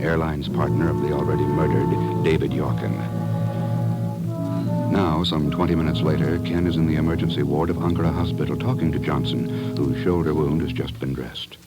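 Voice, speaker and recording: A man's voice: a bass voice, steady tone, normal speed, loud music behind the voice. A man working as a 1950s radio announcer, reading a script in a polished, emphatic way.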